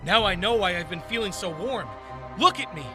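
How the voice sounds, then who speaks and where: Male actor as young hothead superhero, very high voice, swooping delivery, comic book drama, music behind. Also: fairly quick. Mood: panicked, thrilled. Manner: excitable, exclamatory. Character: excitable, hot-headed young male flying hero.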